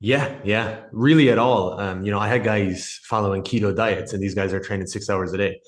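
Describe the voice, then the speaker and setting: A man's voice: on the low side, fairly even intonation, fairly quick. A man in his thirties from Ireland, with an Irish accent mixed with American, talking casually as a podcast guest about his work in sports nutrition. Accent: Irish with some American. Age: 30s. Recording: echoey room.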